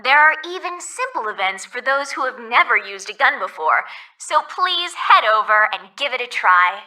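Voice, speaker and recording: A woman's voice: a little high, sing-song, moderate pace, bright, forceful tone, heavily acted. A woman playing a polite, cheerful resort ferry guide in a video game, reading scripted tour announcements, recorded slightly distant. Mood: cheerful, informative.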